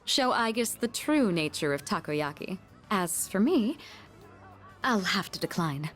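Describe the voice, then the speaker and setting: A refined young woman from a video game. She guides patiently in articulate, gently formal phrases, her mid-range pitch moving expressively over faint music. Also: sing-song, measured pace. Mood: patient, composed.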